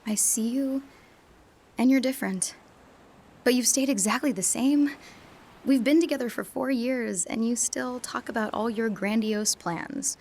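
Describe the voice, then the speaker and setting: An actress playing a young female university student in a radio drama, reflecting quietly and sometimes dropping to a whisper. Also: a little high, unhurried. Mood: uncertain. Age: young adult.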